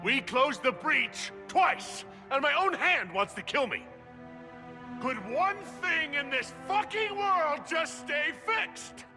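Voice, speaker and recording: An actor playing a defiant, weary leader of a fantasy order in a video game, with earnest, emphatic delivery. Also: male voice, very high-pitched, animated, slow pace, full character voice. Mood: furious, exasperated. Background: music.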